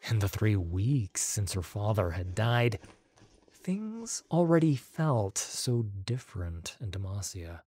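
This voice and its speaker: A man narrating an audiobook story in a measured, expressive way. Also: lowish voice, breathy edge, dry recording.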